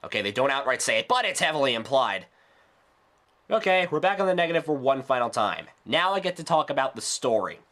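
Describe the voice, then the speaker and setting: Bright-voiced man ranting, highly animated. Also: brisk. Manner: sarcastic, exasperated, emphatic. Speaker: video reviewer. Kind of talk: ranting scripted review commentary.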